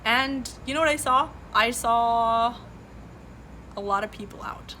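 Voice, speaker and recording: Bright-voiced woman, earnest streamer. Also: fairly high pitch, measured pace, a little hiss or hum, low-quality recording. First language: Farsi. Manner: earnest, lots of fillers and restarts. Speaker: livestream host. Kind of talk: casual political commentary, reading chat. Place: home room with boxes behind.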